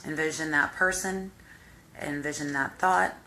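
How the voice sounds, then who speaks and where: A woman hypnotherapist with a deep voice, guiding the listener through a visualization in slow, calm, step-by-step instructions. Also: a little hiss or hum, low-quality recording. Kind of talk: guided visualization exercise.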